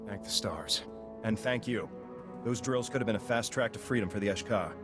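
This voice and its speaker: An actor playing a worried, blunt soldier on a prison planet in a video game, talking plainly and earnestly. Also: male voice, fairly low pitch, moderate pace, over music, lightly acted. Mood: relieved.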